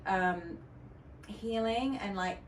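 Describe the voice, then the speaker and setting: A woman speaks as a guest on a podcast, candid and chatty, her thoughts circling through fillers and restarts. Her voice sits at an ordinary middle pitch. The mic is some distance off in a roomy space with a faint hiss behind her. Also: slow. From United Kingdom. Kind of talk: casual podcast interview.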